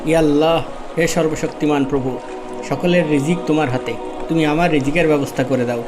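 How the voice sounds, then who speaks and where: A man's voice: fairly high pitch, brisk, music in the background, natural voice. A man playing a devout, humble fisherman and patient husband in a cartoon, earnest and pleading. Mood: prayerful. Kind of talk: cartoon dialogue.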